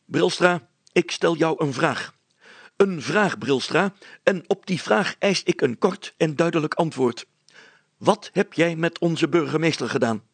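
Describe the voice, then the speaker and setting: A man's voice: a little high, lively intonation, a little slow, husky, dry recording, pitched above the performer's own voice, exaggerated voice. A man voicing an irascible, bossy schoolmaster in a storybook, blustering and demanding. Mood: furious. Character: irascible, bossy schoolmaster interrogating suspects, male. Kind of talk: dramatized storybook reading.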